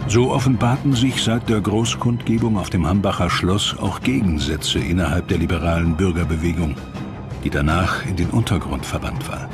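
A man narrating a scripted history documentary in a measured, dramatic storytelling manner. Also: deep voice. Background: music.

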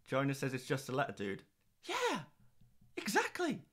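A man's voice: mid-range voice, wildly swooping pitch, moderate pace, fairly bright tone. A young man hosting a livestream, chatting casually and reading out viewer comments in a playful, rambling way. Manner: playful, rambling, lots of restarts and laughs. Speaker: livestream host.

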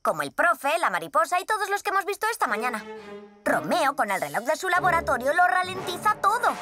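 Sensible high-voiced girl hero. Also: sing-song, brisk. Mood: concerned, explaining. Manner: earnest, explanatory. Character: sensible young girl superhero, slightly scolding. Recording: music clearly behind the voice.

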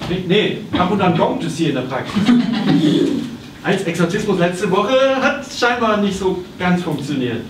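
A man playing an inept, childish psychotherapist, evasive and excitable, in a live satirical stage radio play. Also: high voice, normal speed. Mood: flustered. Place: small theatre stage with audience. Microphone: far camera. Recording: distant, noisy, echoey theatre stage recording.

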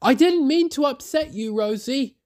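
A storybook narrator voicing a small male tank engine in a high, sulky then apologetic voice. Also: animated, moderate pace, a little mellow. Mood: friendly.

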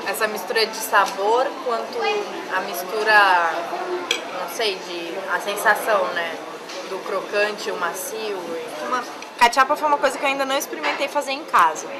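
A woman's voice: medium pitch, moderate pace, very noisy. A young Brazilian woman with a Brazilian Portuguese accent, hosting a casual city tour to camera in a chatty way, often saying "assim". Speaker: travel guide host. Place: busy food shop and noisy club. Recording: loud music and crowd behind.

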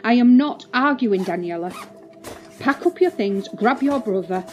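A woman's voice: fairly high pitch, lively intonation, unhurried, faint music behind. A woman playing a firm, anxious mother in an audio drama. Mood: stern, insistent.

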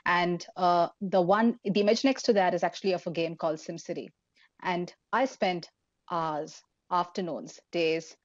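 Indian woman in her thirties, lowish voice, dry close sound, slightly dull webcam audio. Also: a little mellow, dry recording. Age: 30s. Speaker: engineering professor. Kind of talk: online forum talk for students. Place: indoors at home, plain wall behind. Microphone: laptop webcam, video call.

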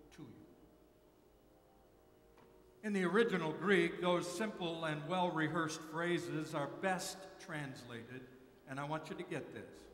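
A man's voice: on the high side, a bit bright. Older male pastor preaching in echoey sanctuary. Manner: measured preaching with slow repeated emphasis. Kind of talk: sermon. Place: large church sanctuary at a pulpit. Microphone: pulpit mic, far camera. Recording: echoey church hall.

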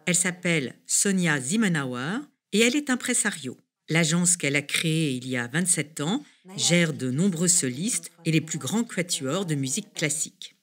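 A woman's voice: deep voice, animated, normal speed, fairly bright tone. A woman presenter reading scripted documentary narration in French.

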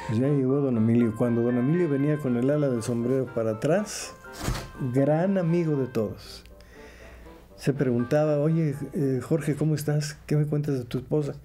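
Older Mexican radio man, slightly high but very mellow, theatrical storyteller. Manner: theatrical, acting out remembered dialogue. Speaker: radio announcer. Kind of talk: anecdotal interview reminiscence.

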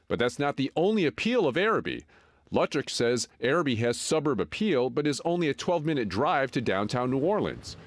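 Lively male TV reporter. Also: medium pitch, fairly quick, dry recording. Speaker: TV news reporter. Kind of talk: news feature narration and street interviews. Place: outdoors in a residential street.

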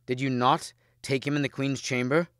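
A man's voice: medium pitch, steady tone, moderate pace, fairly bright tone, clean tone, no room sound, studio quality, pitched above the performer's own voice, natural voice. A man voicing a noble, stern and grieving king in a grave, declamatory manner for a story. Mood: troubled.

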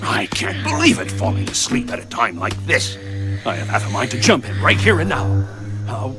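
Boastful panther, wildly swooping pitch, short-tempered, theatrical, cartoon dub, music behind. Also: male voice, wildly swooping pitch, normal speed. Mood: indignant. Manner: taunting, theatrical. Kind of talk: English-dubbed cartoon acting.

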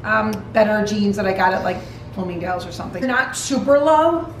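A woman's voice: mid-range voice, animated, fairly quick, slight background noise. A woman in her forties, the vlogger's mother, chatting enthusiastically at home in an echoey room. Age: 40s. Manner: gushing, enthusiastic. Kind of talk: casual family chat.

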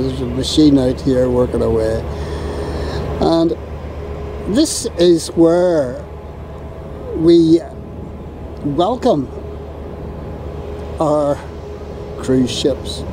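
A Northern Irish man filming a harbour outdoors, ranting sarcastically in an exasperated, drawn-out way. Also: fairly high pitch. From Northern Ireland. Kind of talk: ranting handheld commentary. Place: outdoors on a windy harbour quay. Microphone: camera mic while filming. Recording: outdoor camera audio with steady wind and traffic noise.

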